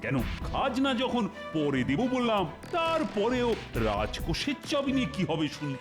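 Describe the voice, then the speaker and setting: A man's voice: sits high, huge pitch swings, moderate pace. A man voicing a fussy, pompous, childish cartoon king who whines and blusters. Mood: suspicious, smug. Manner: pompous, whining. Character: fussy, petulant king, shrewd but childish. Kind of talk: exaggerated comic cartoon acting. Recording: music behind the voice.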